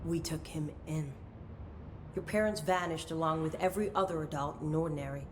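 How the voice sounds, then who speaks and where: A woman voicing a secretive official in a video game. Her low voice stays formal and commanding. A faint rumble sits under it. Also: brisk, natural voice. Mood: grave. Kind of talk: video game cutscene acting.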